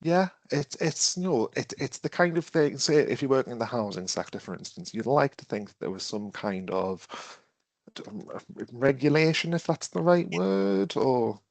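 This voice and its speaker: A man's voice: medium pitch, normal speed, creaky, no room sound. A man with a cough, running an online training session, thinking aloud and putting questions to his audience. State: has a cough and is losing his voice. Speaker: webinar trainer. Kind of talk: interactive online training talk.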